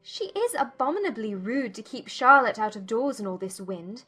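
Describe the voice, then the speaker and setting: A woman playing a witty, outspoken young gentlewoman in a dramatized audiobook, arch and dryly mocking. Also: on the high side, big pitch swings, normal speed, breathy edge, faint music behind, full character voice. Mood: wry, disapproving. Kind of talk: dramatized audiobook character acting.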